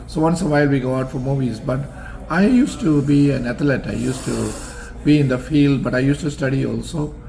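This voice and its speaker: An older man from Singapore, answering interview questions about his college years in a measured, formal way with frequent pauses and fillers. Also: mid-range voice, vocal fry, dark tone, low-quality recording. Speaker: interview guest, alumnus.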